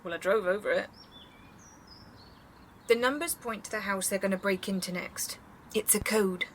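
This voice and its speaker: An actress playing a suspicious, stubborn and blunt long-time resident in a naturalistic audio drama. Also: female voice, mid-range voice, moderate pace, slight background noise, lightly acted.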